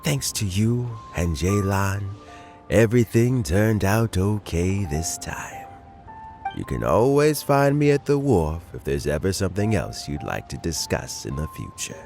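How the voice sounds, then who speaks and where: A man playing an elderly, benevolent civic elder in a video game, speaking in a measured, deliberate, sage-like way. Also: low-pitched, animated, vocal fry, a little mellow, soft background music, heavily acted. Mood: content, cordial. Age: older. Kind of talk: scripted video game character dialogue.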